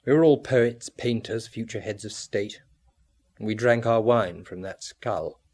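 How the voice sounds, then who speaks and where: Guilt-ridden former art student, husky, desperate, confessional, radio drama. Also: male voice, fairly low pitch, unhurried, a little mellow. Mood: rueful recollection. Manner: anguished, confessional.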